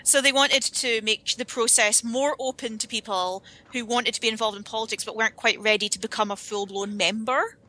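A woman's voice: fairly high pitch, fairly even intonation, brisk, pushed and bright. A Scottish woman explaining at length in a casual podcast chat, with a wry manner. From United Kingdom.

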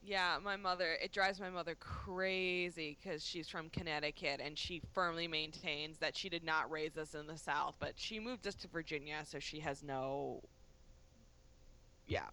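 A woman's voice: low-pitched, steady tone, normal speed, pushed and bright, clean tone, rough recording. A woman chatting casually as a podcast co-host, easygoing and agreeable. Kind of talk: casual chat.

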